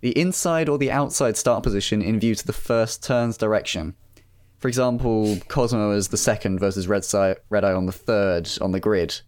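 A man hosts a casual podcast in a low voice. He steers the chat and reads out listener messages in a conversational, informal way. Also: normal speed, a little mellow, smooth. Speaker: podcast host.

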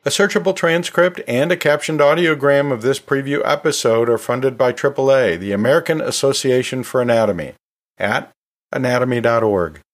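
A man's voice: mid-range voice, normal speed. A man hosting a podcast in a conversational, storytelling way, chatting informally with asides like a teacher talking to listeners. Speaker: podcast host; anatomy and physiology teacher.

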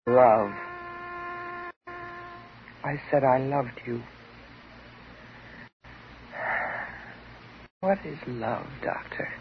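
An American actress playing an intense, unstable psychotherapy patient, theatrical and emotionally volatile, in a 1950s radio drama. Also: female voice, extremely low pitch, slow, full character voice. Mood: mocking. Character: intense, unstable woman psychotherapy patient in love with her doctor. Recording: dull, hissy 1950s radio recording.

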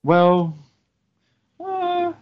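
A man co-hosting a casual podcast, talking hesitantly with many fillers and a self-deprecating manner, feeling low on energy. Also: huge pitch swings, extremely slow. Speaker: podcast co-host. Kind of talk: casual chat. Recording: dull lo-fi sound.

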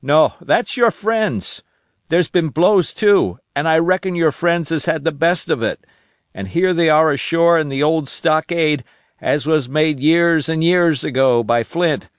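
A man voicing an eager, cunning old marooned sailor who talks in a rough rustic dialect. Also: on the high side, unhurried, dry recording, studio quality, pitched above the performer's own voice, lightly acted. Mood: confiding. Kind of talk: audiobook character voice.

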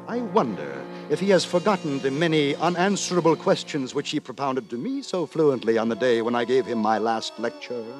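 Actor as lively, prim gentleman storyteller. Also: mid-range voice, normal speed, few pauses, lightly acted. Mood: wry narration. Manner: wordy, formal, mock-solemn. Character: prim, moralising gentleman narrator, easily puzzled. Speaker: narrator. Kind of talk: 1950s radio drama storytelling. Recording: 1950s radio, music behind.

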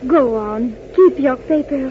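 High-voiced, earnest young woman. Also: slow. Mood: pleading. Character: brave, pleading young French woman. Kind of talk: 1940s radio acting. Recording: thin, phone-like, hissy 1940s radio recording.

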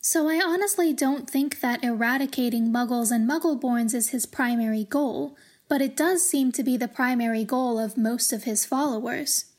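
A woman hosting a podcast, giving measured, articulate commentary on a fantasy book series in a scripted, explanatory style. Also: fairly high pitch, darkish tone. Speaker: podcast host.

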